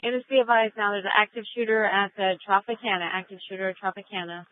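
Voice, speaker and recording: A woman's voice: medium pitch, fairly even intonation, quick pace. An American woman working as a police dispatcher, relaying information procedurally and repeating key details over a radio scanner. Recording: narrowband tinny radio scanner audio.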